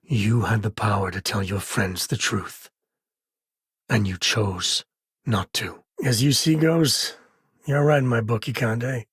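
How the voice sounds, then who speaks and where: An actor playing a rugged space-ranger father in a video game, with a husky, raspy voice and a wry, earnest, conversational manner. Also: on the low side, slow, no room sound, studio quality, heavily acted. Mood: somber, earnest. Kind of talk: video game character voice lines.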